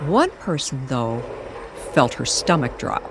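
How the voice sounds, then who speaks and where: A woman narrating an eerie, nostalgic story as a storyteller, in a measured and dramatic manner. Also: rumbling low, big pitch swings, noisy background. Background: music under the voice.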